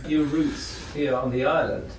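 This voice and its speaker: A man's voice: medium pitch, steady tone, a little slow. A man interviewing for television, asking hesitant, stumbling questions. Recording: roomy, distant mic with background noise.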